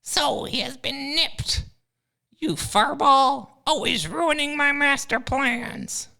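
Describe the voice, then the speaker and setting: A scheming eagle professor, voiced by a woman, who taunts his foes with a high, gravelly sneer. The voice is bright and sharp. It fits an amateur comedy audio drama. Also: slow pace, heavily acted. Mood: gloating. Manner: gloating, taunting. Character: scheming male eagle professor villain, arrogant.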